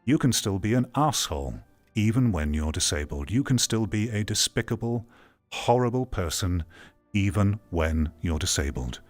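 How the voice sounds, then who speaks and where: A man with a fairly low voice delivers opinionated live commentary in the manner of a streamer on a rant. He is blunt and outspoken, and music plays behind his voice. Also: animated, moderate pace. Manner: blunt, ranting, swearing.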